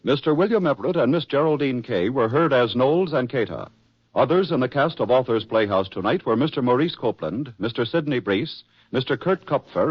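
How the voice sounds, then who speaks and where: Animated 1940s American radio announcer, man. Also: medium pitch, husky, no room sound. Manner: formal, measured. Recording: muffled old radio broadcast, music behind.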